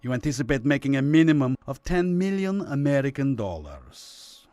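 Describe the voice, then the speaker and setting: An actor playing a courtly but menacing Lithuanian communist official in a radio drama, formal, measured and condescending, with a mid-pitched voice. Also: male voice, very animated, warm tone, no echo, close to an everyday voice. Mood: coolly menacing. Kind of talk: Cold War radio drama acting.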